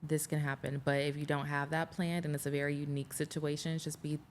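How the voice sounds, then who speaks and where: A woman's voice: low-pitched, little pitch movement, fairly quick, a little hiss or hum. A young woman from the American Midwest, a model and creative director, chatting as a podcast guest in a bubbly, confident way. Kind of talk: casual interview chat.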